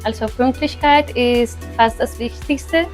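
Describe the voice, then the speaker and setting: A woman, a German teacher, answering interview questions slowly and carefully with pauses. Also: fairly high pitch, soft background music. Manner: slow and careful, with fillers.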